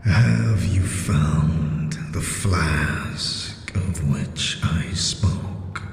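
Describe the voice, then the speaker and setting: Ancient stone face, deep, cavernous echo. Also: male voice, gravelly, a little hiss or hum, heavily acted. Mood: solemn, commanding. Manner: solemn, halting, formal phrasing. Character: ancient talking stone face, grave and cryptic. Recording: cavernous echo, long reverb, music behind.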